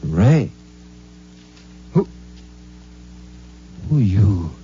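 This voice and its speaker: An actor playing a captured private investigator, halting and groaning yet stubborn, in 1950s radio drama style. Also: male voice, fairly low pitch, very animated, warm tone, heavily acted. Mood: wary defiance. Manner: halting, groaning, gasping protests. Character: groggy, defiant captured private investigator, rattled but stubborn. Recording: dull old radio broadcast with music.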